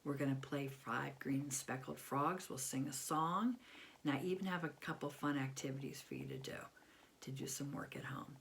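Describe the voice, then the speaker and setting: A woman's voice: very deep voice, fairly even intonation, moderate pace, gravelly, slight background noise. An American woman in her fifties reads a picture book aloud to young children, expressively, with simple explanations and questions to the listener. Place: small bedroom at home, indoors.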